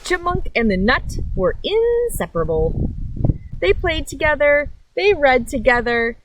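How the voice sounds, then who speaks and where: A woman's voice: high voice, sing-song, a little slow, background noise. A woman chatting and reading a picture book aloud to children in a simple, friendly storyteller's way. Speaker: read-aloud host. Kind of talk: children's storybook read-aloud with casual chat. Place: outdoors by a meadow.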